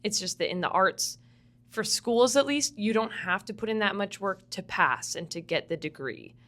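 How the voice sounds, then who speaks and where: A young woman from Vancouver talks easily as a podcast guest, her voice sitting at a middle pitch. She is chatty and laughs often, sprinkling "like" through her sentences in a loose, casual interview style. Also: expressive, moderate pace, pushed and bright. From Vancouver, Canada. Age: young adult. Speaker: podcast guest, musical theatre student.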